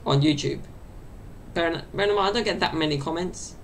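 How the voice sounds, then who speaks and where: A man's voice: brisk, a little hiss or hum. A young man in his twenties hosting a solo podcast, rambling and chatty, speaking into a desk mic set off to the side in his bedroom. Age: 20s. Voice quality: high-pitched, gravelly. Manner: chatty and meandering, full of fillers. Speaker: podcast host. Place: small bedroom at home.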